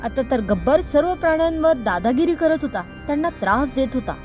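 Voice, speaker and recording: A woman narrates a children's story. Her voice sits fairly high, and soft music plays behind her. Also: expressive, fairly quick.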